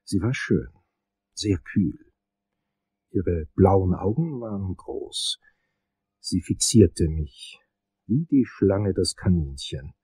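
An actor playing a wry, anxious male investigator who narrates a crime radio play in a deliberate, sardonic way. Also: deep voice, lively intonation, dark tone, husky, no echo, heavily acted. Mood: sardonic observation.

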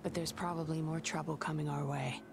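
Yinlin, a teasing sly spy. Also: female voice, low voice, steady tone, fairly quick. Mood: confident. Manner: playful, teasing, smooth. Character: teasing sly young female undercover agent. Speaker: game character. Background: game music.

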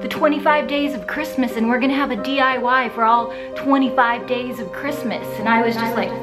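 A woman's voice: fairly high pitch, brisk, music in the background. A middle-aged woman talking casually to camera in a room at home, chatty, candid and self-deprecating, as a craft vlogger. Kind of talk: casual personal vlog talk to camera. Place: indoors, furnished room at home.

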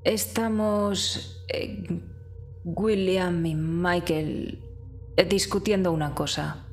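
A woman playing in a tabletop game, speaking in a hesitant, halting way. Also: fairly low pitch, fairly even intonation, a little mellow, soft background music. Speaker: player.

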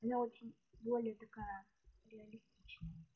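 A woman's voice: a little high, measured pace, husky. A woman vlogger showing her stationery at a desk, talking in a chatty, hesitant way while she is ill. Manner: chatty, hesitant, many fillers. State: ill, voice affected. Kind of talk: casual show-and-tell. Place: indoors at a desk, hands on table. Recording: tinny phone-like audio with loud noise.

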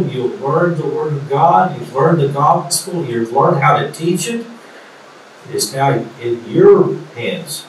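Echoey older man preaching from a distance. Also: mid-range voice. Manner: earnest, exhorting, with deliberate repetition. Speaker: professor and preacher. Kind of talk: sermon, graduation address. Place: indoor study or office, video call. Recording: echoey video call, distant, some noise.

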